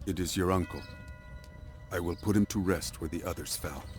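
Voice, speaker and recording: An actor playing a solemn, stoic warrior and protective brother in a dramatic game cutscene. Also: male voice, low voice, moderate pace, lightly acted. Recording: clear music behind the voice.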